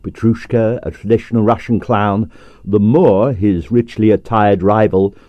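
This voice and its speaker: A man's voice: fairly low pitch, dry recording, muffled recording. A man reading a novel aloud as an audiobook, telling it expressively. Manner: expressive, lively storytelling. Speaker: audiobook reader. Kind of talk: scripted audiobook narration.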